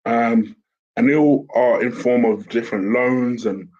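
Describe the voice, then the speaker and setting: A man, hoarse from a sore throat, hosting a casual solo commentary show in a chatty, rambling way. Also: medium pitch, fairly even intonation, a little slow, darkish tone. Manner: chatty, rambling with fillers and restarts. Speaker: host. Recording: dull lo-fi home recording with fan.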